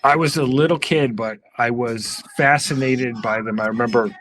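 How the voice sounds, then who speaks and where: Middle aged guy podcast guest, casual nostalgic chat, dry sound, average pitch. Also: moderate pace, fairly bright tone, no echo, poor audio. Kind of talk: casual chat, reminiscing about pop culture.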